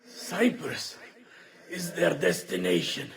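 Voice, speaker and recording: A man playing a defeated villain in a video game, gasping out halting, broken phrases as he dies. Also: fairly high pitch, very animated, vocal fry, a bit bright, exaggerated voice. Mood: strained, dying.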